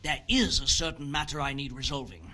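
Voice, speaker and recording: An actor playing a scheming, vengeful criminal who hands out shady jobs in a medieval video game, sly and scornful. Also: male voice, medium pitch, brisk, pushed and bright.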